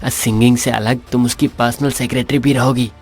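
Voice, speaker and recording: A man voices an amiable, level-headed investor in a radio romance. He speaks in an everyday mid-pitched voice, with music playing behind him. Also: steady tone, a little fast, darkish tone, lightly acted. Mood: advising. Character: friendly, practical male business partner and investor. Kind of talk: Hindi audio romance acting.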